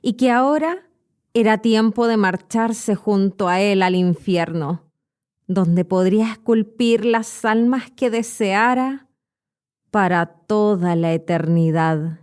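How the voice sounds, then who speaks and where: A woman with a Chilean Spanish accent, a podcast host and Spanish teacher, narrating a horror story in a clear, measured way. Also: mid-range voice, darkish tone.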